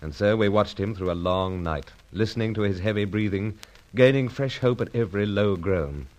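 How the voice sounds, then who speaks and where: An actor playing an unflappable British secret agent, speaking and narrating in clipped, understated, old-fashioned gentlemanly phrases in a radio adventure serial style. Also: male voice, on the low side, moderate pace, close to an everyday voice. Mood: grave narration.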